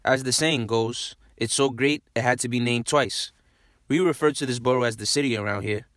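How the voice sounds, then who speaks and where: A man's voice: medium pitch, normal speed, fairly bright tone, no echo, studio quality. Man narrating a city documentary. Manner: proud, upbeat city introduction. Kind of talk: scripted voice-over narration.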